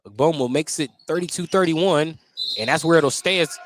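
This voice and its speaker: A man's voice: a little high, normal speed. A man doing live play-by-play basketball commentary as a radio announcer. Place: indoor basketball gym, courtside. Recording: live gym noise behind the voice. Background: gym noise, bouncing basketball.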